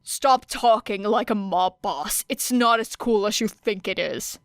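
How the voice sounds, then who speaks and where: A woman voicing a stubborn, exasperated teenage boy who growls his words out slowly, in a storybook audio reading. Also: mid-range voice, dry recording, lightly acted. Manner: slow, dry and exasperated.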